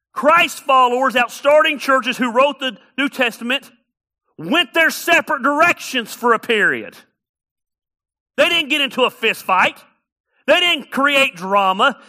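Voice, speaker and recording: A man's voice: very high-pitched, sharp tone. A middle-aged man preaching a sermon as pastor, emphatic and repeating key phrases for effect.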